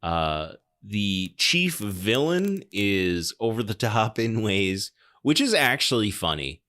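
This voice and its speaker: Low-voiced man hosting a casual podcast. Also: big pitch swings, slow pace, bright, forceful tone. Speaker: podcast host. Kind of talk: casual film discussion and show announcements.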